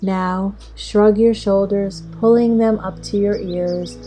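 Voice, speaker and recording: A woman leading a guided meditation as an instructor, speaking in a measured, soothing way with long pauses. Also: medium pitch, steady tone, dark tone, a little hiss or hum. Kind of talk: slow guided meditation narration. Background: music and birdsong.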